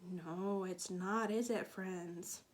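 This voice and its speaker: A woman teacher reading a picture book to young children, explaining patiently and pausing to ask them questions. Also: lowish voice, fairly even intonation. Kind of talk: children's read-aloud with questions to listeners.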